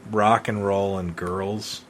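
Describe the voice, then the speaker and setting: A man's voice: fairly low pitch, level tone, slow pace, a bit bright, slight background noise. A middle-aged man co-hosting a podcast, reminiscing in casual conversation. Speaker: podcast co-host.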